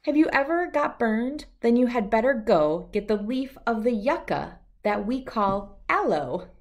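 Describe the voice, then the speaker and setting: A woman's voice: on the high side, big pitch swings, unhurried. A middle-aged woman reading a rhyming children's picture book aloud in a sing-song way that follows the verse.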